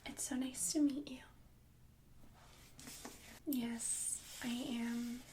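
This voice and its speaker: A young woman voicing a kind, bookish young princess in a soft ASMR whisper, hesitant and gently reassuring. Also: fairly high pitch, flat delivery, extremely slow, slight background noise. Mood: soft, friendly. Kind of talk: ASMR roleplay.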